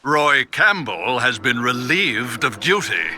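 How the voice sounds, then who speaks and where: An actor playing a pompous, contemptuous government defense secretary in a video game drama. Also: male voice, mid-range voice, sing-song, slow pace, full character voice. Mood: cold, scornful. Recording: very loud music behind the voice.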